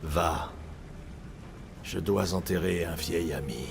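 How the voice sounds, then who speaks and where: An actor playing a weary older warrior father in a video game, solemn and measured, haunted by grief. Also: sits low, creaky, background noise, heavily acted. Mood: sorrowful, tender. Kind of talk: dramatic video game acting, French dub.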